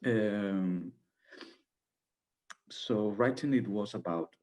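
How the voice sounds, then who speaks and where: A man with a Spanish accent, a native Spanish speaker, answering as a playwright in a post-show Q&A, halting as he searches for words. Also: fairly low pitch, a little mellow.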